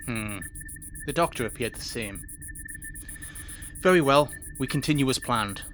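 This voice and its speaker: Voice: mid-range voice, animated, a little slow, noisy background, natural voice. An actor playing a stern, suspicious space station principal and former security chief in an audio drama, speaking in a clipped, formal, authoritative way. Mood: guarded, businesslike. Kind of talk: science fiction audio drama acting.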